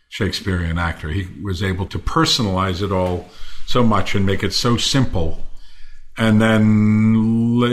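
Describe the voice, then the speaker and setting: An older man, a stage actor, talking reflectively and effusively in a panel discussion, articulate as he thinks aloud. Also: sits low.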